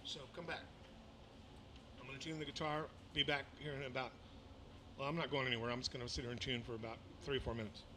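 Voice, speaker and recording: A man's voice: medium pitch, fairly even intonation, fast talker, background noise. An older man from the American South, a musician chatting casually between songs at a small venue and talking into a stand mic, rambling along with lots of fillers and restarts. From Mississippi, raised in Louisiana. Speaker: musician performing a livestream. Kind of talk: casual between-song chat. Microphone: vocal mic on a stand.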